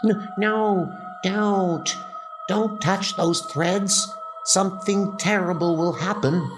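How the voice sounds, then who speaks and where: A man voicing a frightened woman giving halting, pleading warnings in a horror comic dub, with rain noise behind. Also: sits high, music in the background, pitched above the performer's own voice. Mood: fearful warning.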